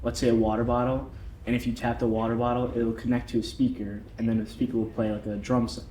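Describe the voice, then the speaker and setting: A man's voice: mid-range voice, flat delivery, quick pace, warm tone. A young man, a student, answering interview questions hesitantly, with many fillers. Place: event venue. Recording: roomy with faint background hum.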